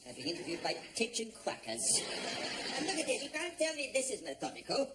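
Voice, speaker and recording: Brief: indignant ranting burglary victim, high and swooping, noisy track. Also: female voice, wildly swooping pitch, brisk. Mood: outraged. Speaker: burgled neighbour. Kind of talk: sitcom acting. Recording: noisy broadcast sound.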